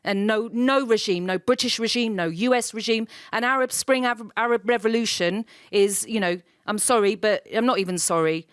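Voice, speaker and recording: Audience speaker, woman, higher voice, impassioned, dry close sound. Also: normal speed, few pauses, clean tone, dry recording. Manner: impassioned, emphatic, trips over words. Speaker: audience member. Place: studio debate audience.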